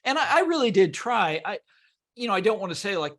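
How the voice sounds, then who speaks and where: An American man from Kansas talking as an interview guest, animated and emphatic, often repeating his words as he explains. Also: high voice, brisk. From Kansas, United States. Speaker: guest author and historian. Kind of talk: conversational interview.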